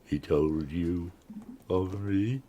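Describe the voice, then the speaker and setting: An actor playing a tormented, man-made creature in an audiobook drama, menacing and mournful, gravelly and breaking into harsh whispers. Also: deep voice, drawn-out words, dark tone, highs cut off, a little hiss or hum. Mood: bitter, mournful. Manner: grave, anguished declaiming.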